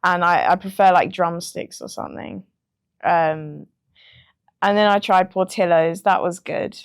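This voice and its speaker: A woman's voice: lowish voice, measured pace, no echo, studio quality. A young British woman, a music producer, chatting casually in an interview with plenty of ums. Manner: relaxed, chatty, frequent ums and laughs.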